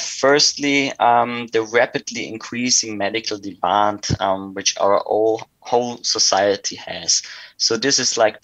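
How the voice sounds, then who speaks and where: A man's voice: on the low side, fairly bright tone, dry recording, poor audio. A man from Austria with an Austrian accent, a native German speaker, explaining things methodically as an interview guest. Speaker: interview guest, sales director.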